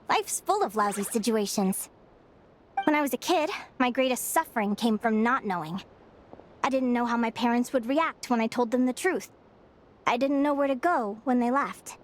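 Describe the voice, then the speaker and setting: An actress playing a stubborn, determined young advisor in a game cutscene, earnest and reflective. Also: female voice, high voice. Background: loud music.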